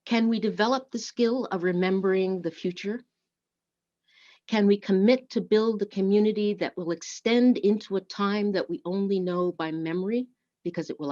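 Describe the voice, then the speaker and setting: A woman leading a church service, speaking and reading in a measured, deliberate way. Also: mid-range voice, a little mellow. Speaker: worship service leader. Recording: dull, lo-fi online audio.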